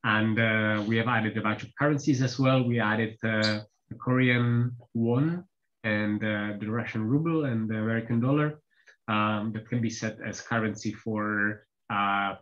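A man whose first language is Czech, presenting software updates on an online team call, pausing often with fillers. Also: fairly low pitch. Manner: hesitant, many filler pauses. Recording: slightly dull lo-fi call audio.

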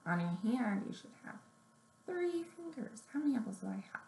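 Lady doing kids story time, highish soft mellow voice, bit creaky, echoey room w some noise. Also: very animated, moderate pace. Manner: simple, encouraging instructions addressed to small children. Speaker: children's librarian, story time host. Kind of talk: children's story time with songs. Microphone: webcam or laptop at arm's length. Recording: roomy echo, noticeable background noise, webcam sound.

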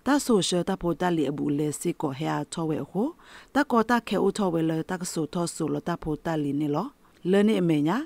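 A woman's voice: fairly low pitch, lively intonation, normal speed, gravelly, a little mellow, dry recording. A woman radio news presenter reading a scripted bulletin.

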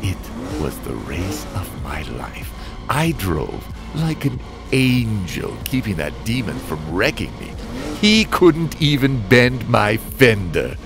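A man's voice: fairly low pitch, very animated, takes it slowly, vocal fry, music in the background, exaggerated voice. A man playing a world-weary, fatalistic father and damned driver, narrating a comic drama in a brooding, wry way. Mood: wry, intense. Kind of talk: scripted first-person dramatic narration.

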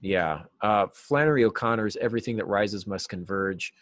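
A man in his forties giving earnest, explanatory answers as an interview guest, stressing key words deliberately. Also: on the low side, fairly bright tone, no room sound, clean studio sound. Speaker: writing teacher and novelist, interview guest. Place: small cluttered office, video call.

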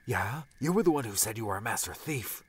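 A man's voice: lowish voice, big pitch swings, fairly quick, breathy edge. A man voicing an impatient, bossy, scheming male adventurer in an audiobook.

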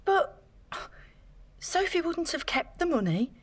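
An actress playing an anxious, self-doubting woman in an audio drama, speaking in a flustered, halting way. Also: extremely high pitch, sing-song, no room sound, full character voice. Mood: flustered, pleading.

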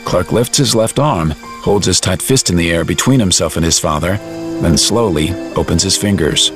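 A man narrating an audio drama in a measured, reflective storytelling style with dramatic pauses. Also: deep voice, faint music behind.

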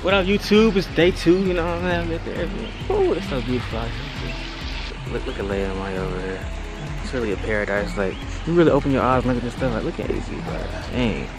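A man's voice: mid-range voice, quick pace, music in the background. A young man from Detroit vlogging on a phone at arm's length, hyped and playful. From Detroit, USA. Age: young adult. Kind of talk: casual vlog talk.

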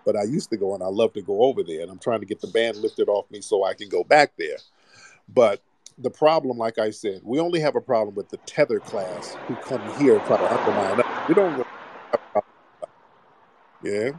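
A man's voice: on the low side, a little fast, a little mellow, slight background noise, low-quality recording. A man hosting a live call-in stream, teasing and mocking his callers in loose, rambling chat. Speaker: livestream host taking callers.

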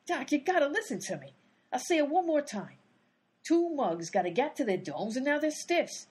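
A woman's voice: sits high, very animated, normal speed, darkish tone. A woman playing a brash, hot-tempered female private eye who wisecracks in 1930s gangster slang, in old-time radio comedy style. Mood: tough, irritated. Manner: wisecracking 1930s gangster talk, dropped grammar.

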